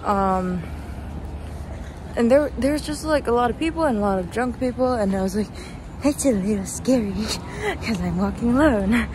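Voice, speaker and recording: A young woman chatting casually in a rambling, halting way, recording on a handheld phone as she walks outside. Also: on the high side, expressive. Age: young adult. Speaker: vlogger. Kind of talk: casual walking vlog chat. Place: outdoors walking on a city street at night. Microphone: handheld phone at arm's length. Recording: noisy city street with passing traffic. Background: traffic and street ambience.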